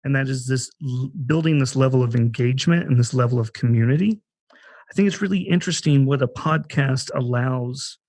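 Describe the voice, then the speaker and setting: A man's voice: mid-range voice, darkish tone, no echo. A man co-hosting a podcast, talking conversationally in an earnest, explanatory way. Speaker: podcast co-host. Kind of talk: conversational podcast talk about a theater project.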